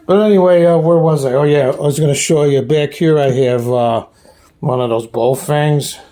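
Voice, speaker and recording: A man's voice: fairly high pitch, steady tone, mellow. Rambling man giving a casual show-and-tell. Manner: rambling, halting, plain-spoken. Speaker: hobbyist host giving a radio gear tour. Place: small room at home.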